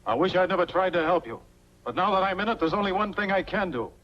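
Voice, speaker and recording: A man's voice: high voice, lively intonation, quick pace. A male actor playing a bitter, desperate accomplice with a curt, accusing manner in a 1940s film noir. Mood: urgent, resentful. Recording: dull, band-limited 1940s film soundtrack.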